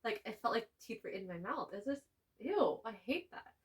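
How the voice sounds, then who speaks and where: A young woman co-hosting a podcast, telling stories in animated casual chat. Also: on the high side, moderate pace. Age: young adult. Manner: animated storytelling with frequent laughs. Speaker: podcast co-host.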